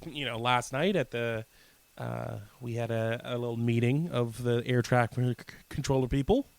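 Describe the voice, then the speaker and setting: A man's voice: mid-range voice, steady tone, moderate pace, creaky, no room sound. A man co-hosting a radio show, trading casual local-news banter in a jokey, mock-serious way. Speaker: co-host of a community radio show.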